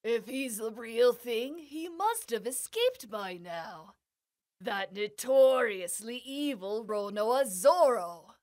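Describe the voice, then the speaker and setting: Imperious high-voiced lady pirate captain. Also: expressive, slow, darkish tone. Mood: suspicious, angry. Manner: haughty, commanding, threatening. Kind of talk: anime-style manga dub acting.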